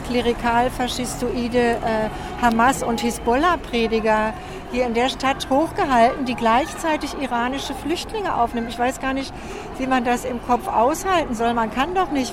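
A woman's voice: a little high, noisy background. A woman speaking emphatically and indignantly in a spontaneous street interview, outdoors in a city.

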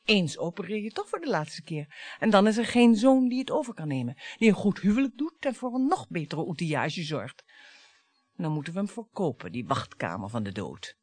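A woman's voice: fairly low pitch, big pitch swings, normal speed, no room sound. An actress in a radio play playing a bitter, taunting middle-aged wife and mother, sarcastic and dramatic. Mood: combative. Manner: sarcastic, dramatic, swinging between hushed and biting.

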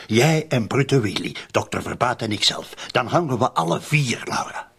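An actor with a Flemish accent playing a cynical, streetwise murder accomplice in a radio drama, insistently spelling things out in colloquial speech. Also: male voice, medium pitch, sing-song, moderate pace, gravelly, a bit bright, slight background noise.

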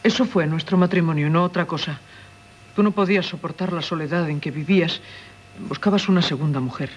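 An actress playing an anguished, unhappy wife in a 1970s radio drama, speaking earnest, solemn Castilian Spanish. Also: female voice, lowish voice, fairly quick. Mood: earnest, bitter. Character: anguished, unhappy wife pleading for her freedom. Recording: dull 1970s radio recording, clear hiss.

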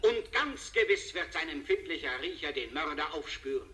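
Bright high-pitched sensational newsman. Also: very animated, normal speed, slight background noise. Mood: excited, dramatic. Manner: emphatic, theatrical announcing. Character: sensationalist male TV news reporter, excited, menacing. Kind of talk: live manhunt commentary in 1970s radio drama.

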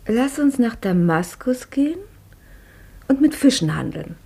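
An actress playing Fatime, a naive and devoted young wife with a soft, yielding manner, in a 1950s radio play. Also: female voice, on the high side, very animated, a little slow, gravelly, breathy edge, full character voice.

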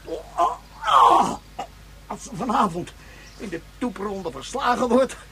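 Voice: medium pitch, lively intonation, a little slow, background noise. Stammering, nauseous, overwrought radio drama wretch. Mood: disgusted, raving. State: nauseous, in distress. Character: sick, miserable, agitated citizen force-fed sweet eel.

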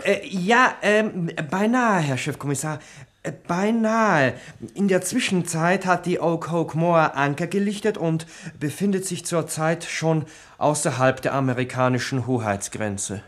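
An actor playing a hesitant, deferential police sergeant in a comic radio crime drama, stammering and formal. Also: male voice, fairly high pitch, unhurried, fairly bright tone. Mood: sheepish, stalling.

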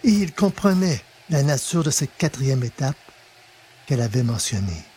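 A man narrating a scripted story in a measured, dramatic manner, with pauses for effect. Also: mid-range voice, big pitch swings, warm tone, husky. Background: music.

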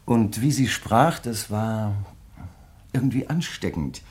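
A very low male voice carries a grieving crime writer in a radio drama. He speaks in measured, reflective phrases, hesitating as he searches for words, and stays courteous while he probes. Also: lively intonation, a little mellow. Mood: wistful, reflective. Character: grieving, probing male crime writer, polite but insistent. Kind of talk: German radio play dialogue.

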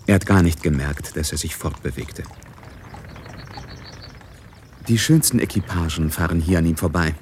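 An actor playing a composed, knowing narrator in a radio drama, delivering literary narration in a measured, wry way. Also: male voice, sits low, a little mellow, slight background noise, close to an everyday voice. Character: knowing, composed male narrator of a society novel.

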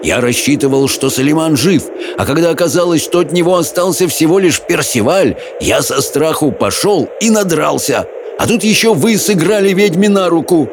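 A man's voice: high voice, sing-song, slow pace, creaky, few pauses, noisy background, voice pushed up, full character voice. A man voicing a hot-tempered, commanding wizard in an audiobook, roaring his words with dramatic, imperious emphasis. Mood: furious bellowing.

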